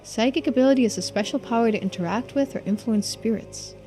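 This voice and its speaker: A woman's voice: a little high, quick pace, dark tone, faint music behind. A woman game streamer reading game text aloud, with bits of casual commentary. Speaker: streamer playing and voicing a game.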